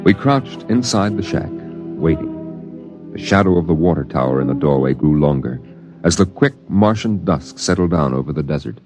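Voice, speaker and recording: An actor playing a grown man recalling his boyhood, in measured, reflective narration for a 1950s radio drama. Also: extremely low pitch, expressive, darkish tone, soft background music. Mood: reflective, grave. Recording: dull vintage radio recording. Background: musical score behind the voice.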